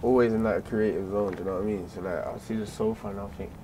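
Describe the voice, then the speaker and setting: Young man, South London accent, fairly low voice, easygoing, slangy, laughs a lot. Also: steady tone, racing, background noise. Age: young adult. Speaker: guest, rapper. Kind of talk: casual chat. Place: small carpeted room, seated in armchairs.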